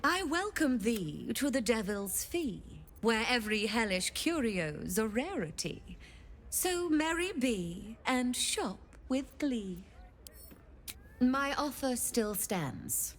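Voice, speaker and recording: An actress playing a sly infernal fixer who runs an occult shop in a video game, speaking in an arch, theatrical, deliberate way. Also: female voice, a little high, very animated, slight background noise, full character voice. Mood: arch, amused. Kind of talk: video game character acting.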